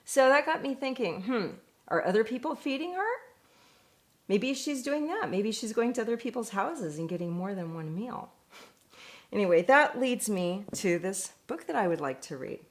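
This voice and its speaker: A woman reading a picture book aloud in an expressive, deliberate way, with chatty asides. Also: on the high side. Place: indoors at home.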